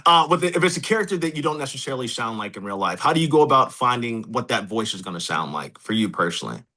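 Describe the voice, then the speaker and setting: A man hosts a casual podcast interview, asking long questions that wander and correct themselves. His voice is bright and forward, at a middle pitch. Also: big pitch swings, quick pace. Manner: rambling questions, self-correcting, friendly. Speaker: podcast host.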